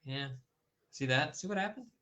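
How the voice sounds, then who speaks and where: A man hosting an online talk show speaks in a bright, forward tone. He rambles a little and restarts his sentences often, as in loose conversation. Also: on the high side, animated, normal speed. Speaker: host. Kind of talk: casual talk show discussion. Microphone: streaming call setup.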